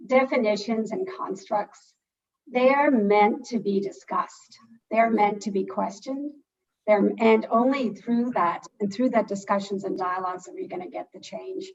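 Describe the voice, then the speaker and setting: A Canadian woman speaks earnestly on a panel, with a gravelly, fry-laden voice. She sounds distant from her mic, and the audio is dull and muffled, like a video call. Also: mid-range voice, unhurried. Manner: earnest, restarts her phrases. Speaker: philanthropic funder, panelist. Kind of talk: panel discussion.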